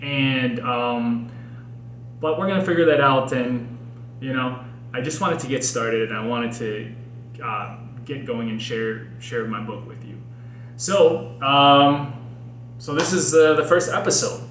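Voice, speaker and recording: An American man with a medium-pitched voice reads personal writing in the style of a homemade audiobook. He is earnest and expressive, setting out his phrases with care. The room echoes noticeably around him, with a faint noise underneath. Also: unhurried. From Minnesota, United States. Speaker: podcast host and author reading own book. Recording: roomy echo with faint background noise.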